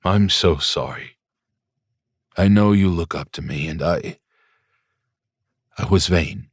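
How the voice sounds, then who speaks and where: A man voicing a gentle, frail older father who is ill but reassuring, speaking softly and slowly. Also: deep voice, husky, no room sound, studio quality, exaggerated voice. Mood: apologetic. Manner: soft, slow and tender. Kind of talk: audiobook character voice.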